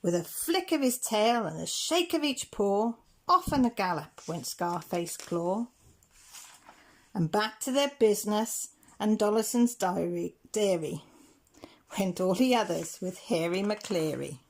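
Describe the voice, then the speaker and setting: A woman reading rhyming picture-book stories aloud in a measured, rhythmic way. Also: medium pitch, animated, darkish tone, a little hiss or hum. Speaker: children's story reader.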